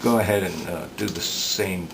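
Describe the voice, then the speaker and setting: A man giving unscripted workbench commentary as a repair hobbyist, hesitant and halting as he thinks aloud. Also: low voice. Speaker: radio repair hobbyist demonstrating work. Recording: clear background noise.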